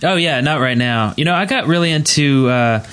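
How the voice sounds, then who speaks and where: A man's voice: fairly high pitch, expressive, normal speed, a bit bright, clear, resonant tone. A man chatting casually as the host of a gaming podcast.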